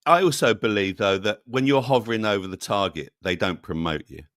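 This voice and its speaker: A man's voice: on the low side, a little fast, fairly bright tone, no echo, studio quality. A man chatting casually as a guest, rambling and jokey, with lots of ums and restarts. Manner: rambling, many fillers and restarts. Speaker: channel host, guest. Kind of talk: casual interview chat.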